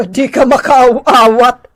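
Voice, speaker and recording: A woman's voice: on the high side, steady tone, very slow. A woman acting a haughty, snappish middle-aged lady in a comedic radio drama, with an Ilocano accent and a theatrical manner. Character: haughty, snappish older woman returned from abroad.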